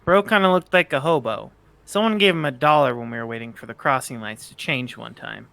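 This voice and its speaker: Man as a bright-voiced wisecracking spirit guide. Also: medium pitch, brisk, creaky. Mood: wry, easygoing. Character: laid-back, wisecracking young male bird-like spirit guide. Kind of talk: amateur fan fiction voice acting.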